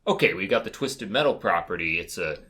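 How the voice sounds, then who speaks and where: Low, bright-voiced man chatting casually. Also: lively intonation, fairly quick, slightly rough. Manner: rambling, self-interrupting, hedging. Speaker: podcast guest panelist. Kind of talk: casual opinionated chat.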